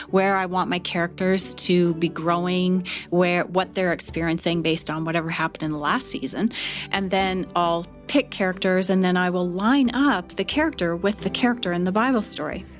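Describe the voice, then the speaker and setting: A woman explaining her creative process as a writer in an interview, earnest, with emphatic repetition. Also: lowish voice. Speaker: audio drama writer. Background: faint music.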